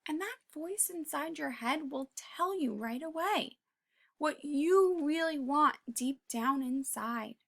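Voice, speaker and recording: A woman reading a children's picture book aloud, pausing to talk to young listeners in an encouraging way and sometimes dropping to a whisper. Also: sits high, expressive, gravelly. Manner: drops to a whisper at quiet moments. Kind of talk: read-aloud with commentary for kids.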